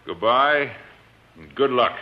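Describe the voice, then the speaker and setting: Folksy wagon master, loyal and hearty. Animated fifties radio western, muffled noisy sound. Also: male voice, medium pitch, slow pace. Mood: subdued. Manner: folksy, rustic frontier speech. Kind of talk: 1950s radio western acting.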